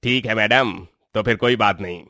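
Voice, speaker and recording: A man's voice: lowish voice, moderate pace, bright, forceful tone, no room sound, studio quality. A man voicing a hired thug in a moral story drama. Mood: compliant. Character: hired thug taking a kidnapping job. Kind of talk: Hindi moral story voice acting.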